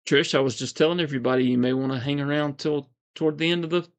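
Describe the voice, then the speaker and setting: A man with a calm, soothing voice, hosting a casual livestream, reading viewer comments in a relaxed, meandering way. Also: a little high, quick pace, clean tone. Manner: relaxed, meandering, frequent fillers and soft chuckles. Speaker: livestream host.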